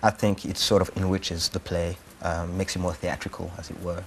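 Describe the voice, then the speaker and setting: A man's voice: low voice, flat delivery, moderate pace, a little hiss or hum. A man from Rwanda answers interview questions conversationally, hesitating as he thinks aloud. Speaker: actor-writer being interviewed.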